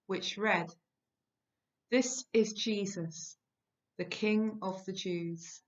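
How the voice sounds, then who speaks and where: A middle-aged woman reads scripture solemnly, in measured and deliberate phrases. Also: mid-range voice. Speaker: lay scripture reader. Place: home kitchen, indoors. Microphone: webcam at about arm's length. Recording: dull, lo-fi webcam sound.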